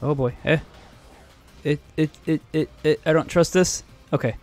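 A man streaming live and joking through a game, his voice cracking and going hoarse, on a headset mic. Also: a little high, darkish tone. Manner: joking, sarcastic, quick asides, giggly. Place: home streaming room, indoors. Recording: game music in background.

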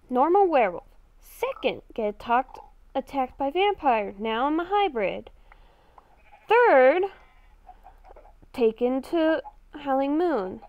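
A woman plays a lost young werewolf-vampire hybrid girl in a video game roleplay. Her voice is high and swings widely in pitch. She talks slowly and haltingly, dramatically puzzling things out aloud in exasperation. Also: no room sound, dull audio. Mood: confused. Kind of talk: improvised Minecraft roleplay narration while playing.

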